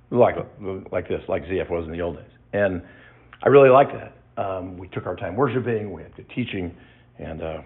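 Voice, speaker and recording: A man in his sixties giving a personal testimony to his church, reflective and halting, with many restarts. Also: on the low side. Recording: tinny phone-like sound.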